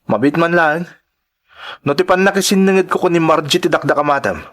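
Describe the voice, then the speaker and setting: An actor playing an earnest young male migrant worker, gentle with family and defensive with rivals. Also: on the high side, fairly quick, lightly acted. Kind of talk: Ilocano audio drama acting.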